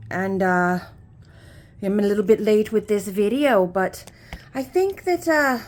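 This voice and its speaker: A woman's voice: mid-range voice, a little mellow, natural voice. A woman putting on a chatty, homely persona with a mock Irish or Scottish lilt, rambling with folksy phrases and many fillers. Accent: put-on Irish or Scottish. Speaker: YouTuber. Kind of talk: casual chat.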